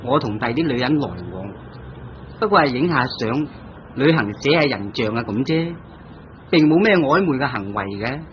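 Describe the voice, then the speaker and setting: A man's voice: mid-range voice, expressive, moderate pace, close to an everyday voice. An actor with a Hong Kong Cantonese accent playing a restless married painter and art teacher in an old radio drama, sighing and grumbling about his wife. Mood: aggrieved. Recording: dull old radio broadcast with faint hiss.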